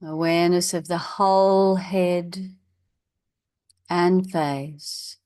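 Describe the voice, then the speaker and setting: A woman leading a guided meditation as a teacher, in a measured, instructional way with long pauses. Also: fairly low pitch, steady tone, warm tone, no echo, clean studio sound.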